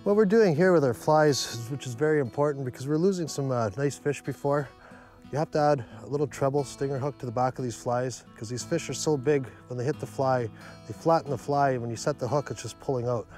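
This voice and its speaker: A man's voice: medium pitch, brisk, darkish tone, faint music behind. A middle-aged man on a boat on a lake, earnestly explaining fly rigging and stressing key points. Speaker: fishing show host. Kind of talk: instructional talk. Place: small boat on a lake outdoors.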